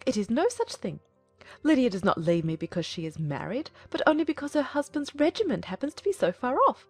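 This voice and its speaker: A woman voicing a fretful, excitable middle-aged mother in a dramatized audiobook, gushing and plaintive. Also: fairly high pitch, a little fast, soft background music, voice pushed up. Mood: mournful, peevish. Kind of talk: dramatized audiobook character acting.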